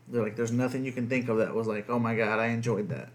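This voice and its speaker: A man's voice: mid-range voice, little pitch movement, fast talker. A young man, a dad who grew up speaking Spanish first, chatting loosely and cursing a lot on a podcast. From United States. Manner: profane, rambling, heavy on fillers. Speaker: podcast co-host. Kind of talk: casual podcast chat.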